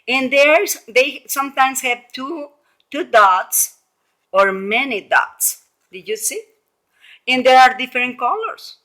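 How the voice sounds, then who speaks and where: Slow-paced woman teacher reading to children. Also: medium pitch. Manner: animated storytelling with exclamations and frequent questions to listeners; simple explanations with frequent repetition. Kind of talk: read-aloud lesson for young children.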